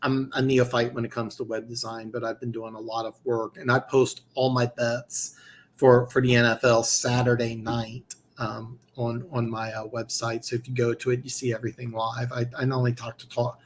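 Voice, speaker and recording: A man hosting a live sports-betting stream with a middle-pitched voice that is starting to go. He talks casually, trips over restarts and fills the gaps with fillers. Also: little pitch movement, normal speed, a little hiss or hum. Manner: rambling, many restarts and fillers. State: losing his voice. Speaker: host of a football picks show.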